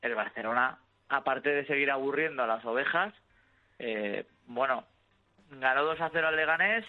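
A man's voice: mid-range voice, moderate pace. A male sports journalist calling in, rattling off fixtures and results with some hesitation. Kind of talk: sports news chat. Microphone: telephone line. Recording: tinny phone line.

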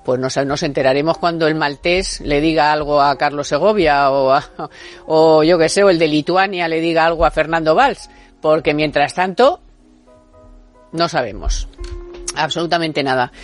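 A woman's voice: sits low, normal speed, faint music behind. A woman hosting a radio talk show from a studio, talking in an emphatic, colloquial and sarcastic way.

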